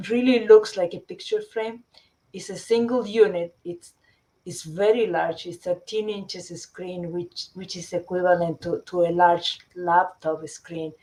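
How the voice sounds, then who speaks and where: A middle-aged woman from Chile, a company founder presenting her product in a webinar, often pausing with fillers and restarting sentences. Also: fairly low pitch, a little mellow. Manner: hesitant, many fillers and restarts.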